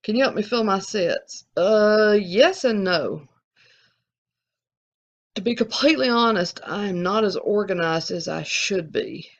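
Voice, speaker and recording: Casting note: Alabama drawl, gravelly edge, chatty self-recorded vlogger, dull lo-fi sound. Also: mid-range voice, animated, a little slow. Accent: Southern US, Alabama. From American South. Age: adult, collecting since the eighties. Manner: rambling, candid, frequent restarts. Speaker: sports card channel host. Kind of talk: casual Q&A to viewers.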